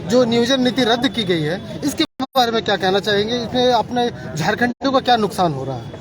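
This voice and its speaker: A man's voice: extremely high pitch, expressive, a little fast, low-quality recording. A man working as a field reporter, putting polite, wordy questions in an on-the-spot interview. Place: outdoors at a public gathering. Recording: outdoor crowd and traffic noise.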